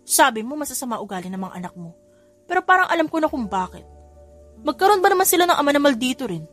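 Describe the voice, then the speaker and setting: A woman voicing a bubbly, scheming young nanny who talks in an excitable, pleading way. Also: high voice, fast, faint music behind, voice pushed up. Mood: scolding.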